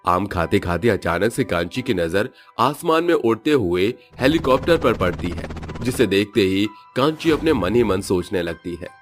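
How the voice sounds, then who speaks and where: Brief: male narrator, lowish voice, steady storytelling, faint music under. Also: moderate pace, hardly any pauses.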